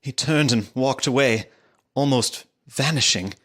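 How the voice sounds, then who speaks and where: A man playing a frightened young English gentleman prisoner, giving formal, dramatic diary narration in a gothic audio drama. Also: mid-range voice, unhurried. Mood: grim, uneasy. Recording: music underneath the voice.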